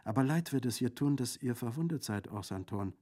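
A man voicing a shy, obedient little peasant girl in an audiobook, speaking timidly and softly. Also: mid-range voice, expressive, brisk, voice pushed up, natural voice. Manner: timid, soft. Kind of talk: audiobook character acting.

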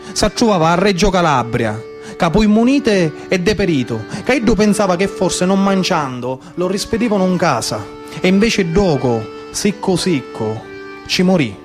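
High-pitched Sicilian man telling a theatrical story. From Sicily, Italy. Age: 30s. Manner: declamatory, repetitive folk-storytelling cadence. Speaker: actor-storyteller. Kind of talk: theatrical narrative monologue mixing dialect and Italian. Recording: live music behind the voice. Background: live instrumental music.

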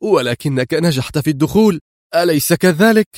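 A man voicing an anxious, eager young woman in a spoken story, exclaiming excitedly. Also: a little high, brisk, dry recording, clean studio sound, voice pushed up, lightly acted. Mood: relieved eager.

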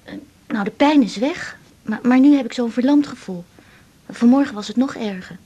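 Radio play: nervous young girl at the doctor's, high soft breathy voice, halting, polite, dull recording. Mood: anxious, hesitant. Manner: hesitant, stammering restarts. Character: anxious schoolgirl patient, polite and hesitant. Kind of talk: naturalistic 1980s radio drama acting.

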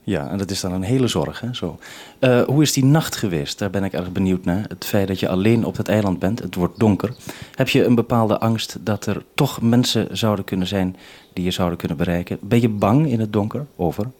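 A man's voice: fairly low pitch, fairly quick, darkish tone. A man hosting a radio programme, explaining things to listeners and putting questions to a guest. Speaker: radio host. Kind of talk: explanatory radio presenting and interviewing.

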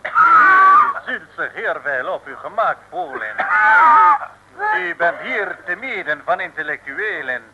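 A Soviet commissar villain from an old radio play, with a fairly high voice. He is stern, formal and full of propaganda, on a clearly noisy vintage recording. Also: animated, a little slow, long pauses, raised voice, dull audio. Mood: formally courteous. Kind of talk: 1950s-60s radio serial acting.

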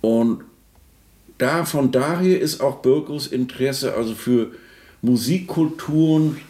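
A man giving spontaneous, searching commentary on a composer, halting and often checking in with a questioning tag. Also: mid-range voice, warm tone. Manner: halting, searching, tags remarks with a questioning particle. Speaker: commentator on a composer's life and work. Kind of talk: spontaneous musing commentary in a radio play.

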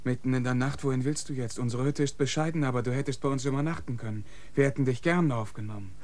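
Ein Schauspieler spielt in einem Hörspiel einen bescheidenen Boten, der ruhig und höflich spricht. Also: male voice, mid-range voice, fast, lightly acted. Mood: calm polite. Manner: calm, polite, modest. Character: humble young male messenger, polite and modest. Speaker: messenger character. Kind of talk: radio play acting.

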